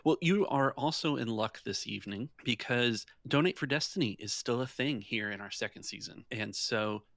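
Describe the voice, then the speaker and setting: A man's voice: medium pitch, normal speed, vocal fry, a bit bright, dry recording, studio quality. A man hosting a tabletop roleplaying game as game master, narrating scenes in a descriptive, conversational storytelling way.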